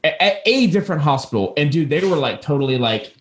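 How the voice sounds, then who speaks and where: Higher-voiced jokey man in echoey room. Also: expressive, quick pace. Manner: profane, jokey, rambling. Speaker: podcast host. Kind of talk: casual banter and anecdotes.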